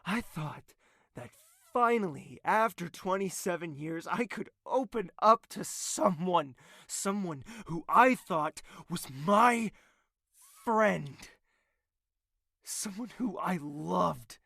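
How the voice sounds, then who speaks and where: A man acting an embittered, proud crown prince who calls himself a villain in a fantasy audio drama, theatrical and sardonic, swinging from hushed to explosive. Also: sits high, animated, slow pace, creaky, no echo, clean studio sound, exaggerated voice. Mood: wounded.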